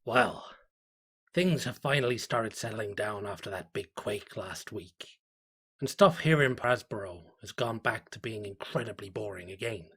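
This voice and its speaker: A man's voice: lowish voice, expressive, a little slow, fairly bright tone, breathy edge, full character voice. A man voicing a grumpy, weary small-town fisherman, reading his sardonic diary with dry grumbling and swearing.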